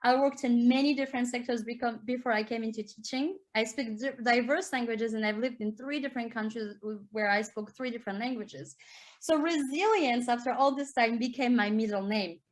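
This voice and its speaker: French-accented woman teacher, earnest presenter, slightly high voice. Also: brisk. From France. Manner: earnest, explanatory, rises in pitch to stress key points. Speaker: primary school teacher. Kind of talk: conference talk with slides.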